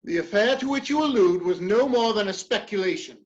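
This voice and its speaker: A man's voice: high-pitched, expressive, brisk. Man playing stiff proud english gent politician, formal period play lines, muffled lo-fi mic. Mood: indignant. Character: proud English gentleman politician, indignant and cornered. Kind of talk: dramatic reading of a period stage play. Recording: dull, lo-fi microphone sound.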